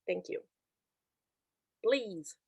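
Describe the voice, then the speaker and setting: Slow, hesitant woman. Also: mid-range voice, expressive, creaky, husky, dry recording, studio quality. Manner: hesitant, searching for words, many fillers. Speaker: webinar host and interpreter. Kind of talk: casual video-call presentation. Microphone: video call.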